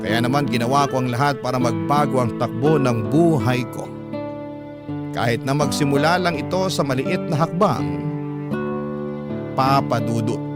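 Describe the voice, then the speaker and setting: A man's voice: medium pitch, moderate pace, pauses often. A man with a Filipino accent reading a scripted first-person letter as narrator of a radio drama. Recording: loud guitar music under the voice.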